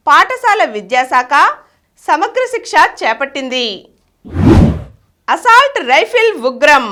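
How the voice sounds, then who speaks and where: Casting: female news reader, high-pitched and bright, lively intonation through scripted bulletins.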